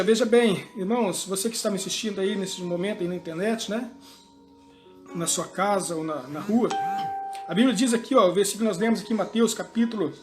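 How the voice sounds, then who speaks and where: A male pastor preaching a sermon in a church, often asking and answering his own questions and tagging lines with "né?" and "amém?". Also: high-pitched. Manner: peppers talk with "né?" and "amém?", asks and answers.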